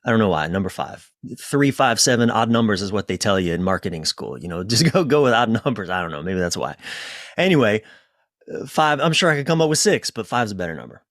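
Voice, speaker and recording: A man's voice: on the low side, big pitch swings, fast, a bit bright, dry recording, clean studio sound. A man speaking as a coach being interviewed, informal and conversational, often repeating phrases and interrupting himself. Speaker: relationship coach, interview guest.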